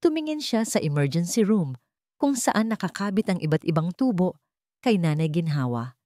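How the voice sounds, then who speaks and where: Lower-pitched woman narrating drama, dry recording. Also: very animated, moderate pace, warm tone, dry recording. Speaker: storyteller. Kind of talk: dramatic scripted narration of a love story.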